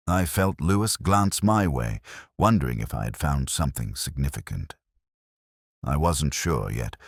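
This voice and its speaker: A man plays a brooding older detective telling a mystery story in an extremely deep voice. His delivery is measured and literary, with a lively rise and fall, recorded close and dry. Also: no room sound, heavily acted. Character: brooding older male police detective narrating his case. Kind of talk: scripted first-person mystery narration.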